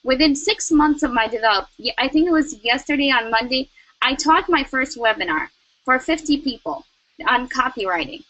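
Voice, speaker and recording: A young woman delivers motivational advice in a high, bright voice. She is emphatic and repeats her key lines for effect. The sound is close and dry with no room around it. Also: expressive, moderate pace, dry recording, low-quality recording. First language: Russian. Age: young adult. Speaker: network marketing co-host. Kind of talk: motivational talk.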